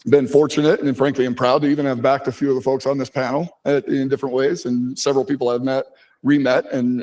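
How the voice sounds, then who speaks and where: An American man, a tech investor, moderating a conference panel in a relaxed, chatty way. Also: medium pitch, rough recording. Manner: relaxed and chatty, self-deprecating. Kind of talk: casual conference panel talk. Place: conference hall. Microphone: handheld microphone.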